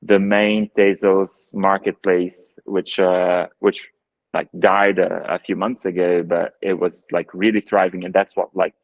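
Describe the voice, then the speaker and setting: Deep-voiced Brazilian man on a video call. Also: flat delivery, unhurried, no echo, highs cut off. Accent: Brazilian Portuguese. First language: Portuguese. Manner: thoughtful, many fillers and restarts. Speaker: photographer and guest. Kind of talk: casual video call interview. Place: living room at home. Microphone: earbuds on a video call.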